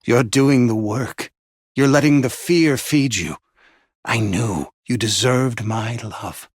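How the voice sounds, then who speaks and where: Husky, condescending male villain. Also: mid-range voice, no room sound, studio quality. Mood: smug approval. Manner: theatrical, patronizing, measured praise. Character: grandiose, condescending male overseer of a sinister therapy facility. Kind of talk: scripted video game villain voice lines.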